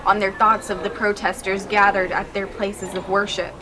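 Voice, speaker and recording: A young woman reporting the news, with stand-ups on location and voice-over narration in a clear, measured delivery. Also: medium pitch, flat delivery, noisy background.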